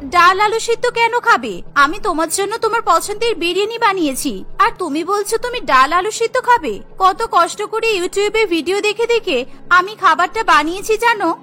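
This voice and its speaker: Woman as an earnest, very high-voiced young wife. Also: lively intonation, moderate pace, bright, forceful tone, soft background music, full character voice. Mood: hurt, protesting. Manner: earnest, pleading. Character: earnest, hurt young daughter-in-law, eager to please. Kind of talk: Bengali cartoon voice acting.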